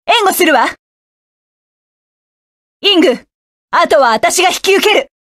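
A proud, sharp-tongued woman mecha pilot snaps curt battle orders in a high voice. She takes her time over each short game line, and the sound is close and dry. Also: dry recording. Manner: curt, commanding barks. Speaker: game character. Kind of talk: video game battle voice lines.

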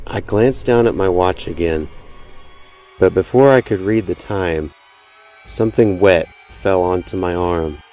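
Male horror podcast narrator, deep voice, suspenseful first-person delivery over music. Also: a little slow, a little mellow, poor audio. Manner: dramatic, suspenseful storytelling. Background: music behind the voice.

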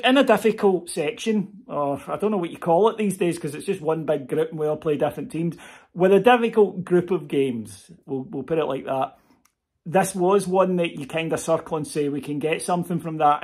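Man, forties, podcast host, home webcam, animated and expressive, fillers and restarts, slightly high voice. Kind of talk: solo post-match football reaction. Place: home room full of football memorabilia.

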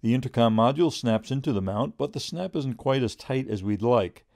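Reviewer narration: middle-aged male, mellow tone, very dry recording, measured and informative. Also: medium pitch, dry recording. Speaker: product reviewer.

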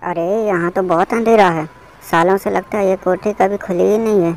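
A woman's voice: medium pitch, steady tone, moderate pace, a little mellow, soft background music, natural voice. A woman voicing a curious, stubborn young boy in a cartoon adventure story, often exclaiming to himself. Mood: determined, curious.

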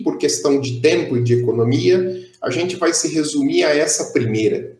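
A young man with a fairly high voice lectures on mathematics from a room with audible echo. He talks in a steady, explanatory way and drops "é" fillers between his points. The sound comes through a computer mic on a video call. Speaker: math lecturer. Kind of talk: online math lecture.

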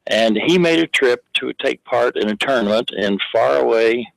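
Older man phoning in, rambling storyteller, mid pitch, dry. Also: moderate pace, gravelly, no echo, poor audio. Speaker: caller. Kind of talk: phone-in call.

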